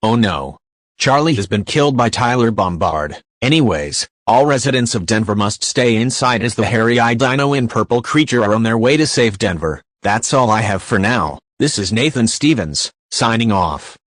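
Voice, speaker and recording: Guy doing a tv news anchor, lowish voice, brisk n composed, dry recording, fake newscast. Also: animated, no echo. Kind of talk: scripted amateur newscast.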